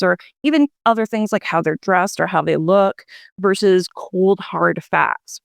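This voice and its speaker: A woman psychology researcher, a guest on a radio show, explaining her research in a measured, explanatory way. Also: medium pitch, creaky, no echo, clean studio sound. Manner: measured, explanatory, many "you know"s.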